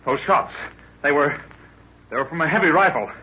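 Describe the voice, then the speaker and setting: An actor playing a brave, earnest young expedition assistant in a theatrical 1930s radio adventure style. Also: male voice, on the high side, lively intonation, moderate pace, lightly acted. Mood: earnest, shaken. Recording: muffled, noisy 1930s radio recording.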